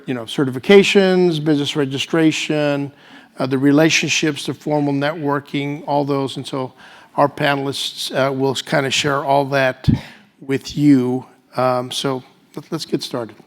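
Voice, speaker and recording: A man hosting a panel, speaking semi-formally and off the cuff in a halting way with restarts. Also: medium pitch. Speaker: panel moderator and host.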